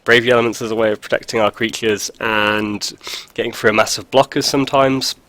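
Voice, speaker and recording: A man's voice: lowish voice, fairly even intonation, fairly quick, sharp tone, poor audio. A man with a cold, sounding stuffy, talking casually over a card game with dry jokes and lots of fillers. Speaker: video host. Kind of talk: casual gameplay commentary.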